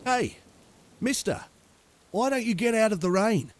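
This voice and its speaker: A man voicing a calm Australian male dog walker with an Australian accent, pitched higher than his own voice. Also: measured pace, slight background noise. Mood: puzzled.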